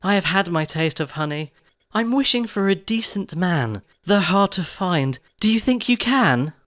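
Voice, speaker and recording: A man's voice: high-pitched, expressive, a little slow, a bit bright, dry recording, voice pushed up. A man voicing a feisty, complaining young fairy-tale woman in rhyming verse. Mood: wistful. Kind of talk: storybook character voice in rhyming verse.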